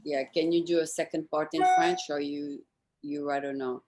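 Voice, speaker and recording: A woman's voice: low voice, flat delivery, moderate pace, a little mellow. A woman hosting a casual interview, talking conversationally with her guest. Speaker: host, interviewer.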